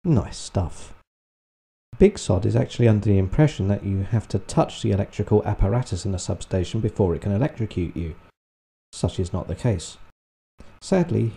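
A British man giving a scripted review narration in a deadpan, sardonic, sweary manner. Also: on the low side, gravelly, husky. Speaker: film reviewer.

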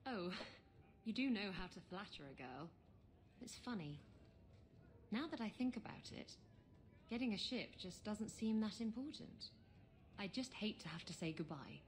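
An actress playing a flirtatious, irreverent female seafaring adventurer in a video game, with a teasing, unhurried delivery. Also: mid-range voice, expressive, a little hiss or hum, close to an everyday voice. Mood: wistful affection. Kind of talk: video game dialogue acting.

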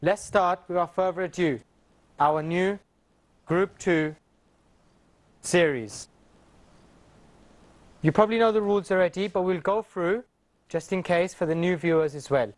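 A man's voice: high-pitched, measured pace, long pauses. A man in his thirties hosting a TV quiz show in a studio, friendly and upbeat, on a lapel mic.

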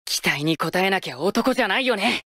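A man's voice: sits high, lively intonation, brisk. A man voicing a slight, polite yet fiercely driven teenage boy batter in a game. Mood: quietly driven. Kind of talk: anime baseball game voice lines. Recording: loud music under the voice.

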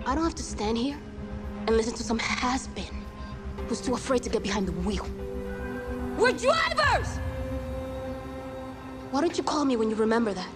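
A woman's voice: a little high, sing-song, normal speed, long pauses. A woman in her twenties playing a headstrong, blunt street racer in a naturalistic video game scene. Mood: defensive. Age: 20s. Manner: blunt, defensive. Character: headstrong young female street racer, defiant. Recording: music behind.

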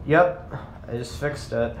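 Young guy filming an elevator on his phone, talks slow, echoey little cab with a faint hum behind. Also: medium pitch. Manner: enthusiastic, slow and remarks to himself. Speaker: elevator video channel host. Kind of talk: handheld walkthrough narration. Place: mall elevator and lobby. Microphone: handheld phone camera.

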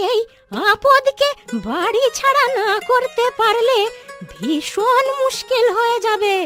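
Very high, scolding old grandmother. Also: bright, forceful tone, heavily acted. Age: older. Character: exasperated old village grandmother, scolding and sly. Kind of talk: Bengali cartoon story voice acting. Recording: music behind the voice. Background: music.

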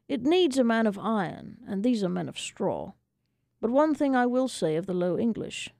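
A woman's voice: medium pitch, gravelly, mellow, no echo. A woman narrating a first-person story as a dramatic audiobook reading, recorded in a radio studio.

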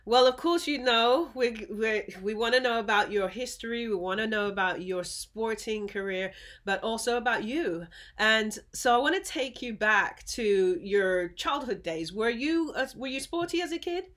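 A woman hosting an interview over a video call from home, upbeat and quick to laugh, with short encouraging replies. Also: a little high, lively intonation, a bit bright. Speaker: interview host. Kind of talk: casual interview chat. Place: home room.

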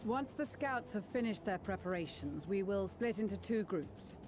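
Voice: mid-range voice, expressive, normal speed, music in the background, lightly acted. An actor playing a composed, principled commander, speaking formally and with measure in scripted game dialogue. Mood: composed, resolute. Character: composed, principled commander giving a military briefing.

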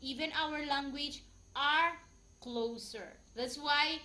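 Upbeat Filipino-accented woman host in her thirties, high voice, echoey room. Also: slow. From Philippines. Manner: upbeat, bubbly, with loud cheers. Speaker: vlogger and quiz host. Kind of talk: cheerful vlog, then hosting a word quiz. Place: small plain room with a whiteboard. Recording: echoey room, background hiss.

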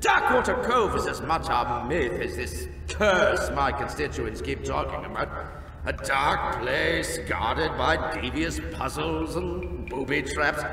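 A man's voice: on the high side, full character voice. A man playing a genial, fussy alien island official in a video game, speaking in a theatrical, courtly way. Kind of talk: video game character acting. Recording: echoey hall, distant, under loud music.

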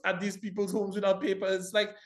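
A man from the Caribbean, a film expert guest, talking at length in casual conversation, reflective with quick laughs. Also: sits high, completely flat delivery. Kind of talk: casual chat.

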